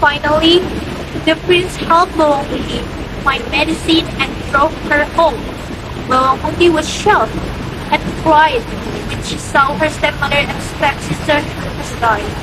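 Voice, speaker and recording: A woman's voice: sits high, unhurried. A young woman reading scripted folktale narration as the storyteller. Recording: noisy background hum.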